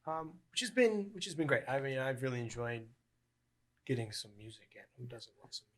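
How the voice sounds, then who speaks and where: Bright-voiced man in his early twenties. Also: mid-range voice, fairly quick. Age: early 20s. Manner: relaxed, rambling with many ums. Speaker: podcast co-host. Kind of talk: casual podcast chat.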